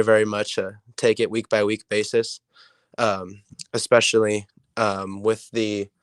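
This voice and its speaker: A hoarse young man with a raspy voice, a player answering interview questions in a rambling way with many ums. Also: lowish voice, dry recording, studio quality. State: hoarse from illness. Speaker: player guest.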